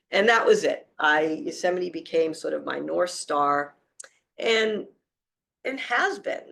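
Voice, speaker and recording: Woman, forties, Boston accent, hoarse and gravelly, warm storytelling conference speaker. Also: fairly low pitch. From Boston area, Massachusetts. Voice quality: gravelly, creaky. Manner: animated, storytelling, frequent fillers. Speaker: wildlife conservation speaker. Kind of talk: public talk with slides.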